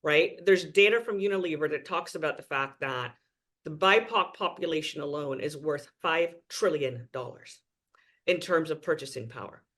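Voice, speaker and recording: A man's voice: fairly high pitch, moderate pace, fairly bright tone. A man from Trinidad and Tobago, a guest speaking earnestly and with emphasis in a podcast interview.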